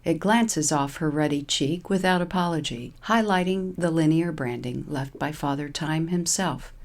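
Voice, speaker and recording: A woman's voice: low-pitched, normal speed. A woman reading a scripted humorous short story as narrator.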